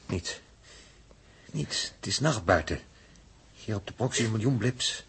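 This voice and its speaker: Low, sombre spaceship crewman. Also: lively intonation, a little slow, fairly bright tone, husky, full character voice. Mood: bleak, resigned. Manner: terse, bleak. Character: sombre, resigned spaceship crewman after a disaster. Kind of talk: 1960s Dutch radio drama acting. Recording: slightly noisy 1960s radio recording.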